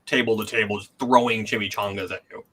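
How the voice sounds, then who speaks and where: A man's voice: fairly low pitch, steady tone, fast talker, bright, forceful tone. A man hosting a comedy game show with casual, joking banter.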